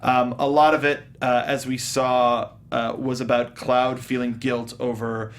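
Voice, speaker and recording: A man hosting a casual film review panel, talking in a halting way as he rephrases his points. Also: medium pitch, level tone. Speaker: host of a film review show.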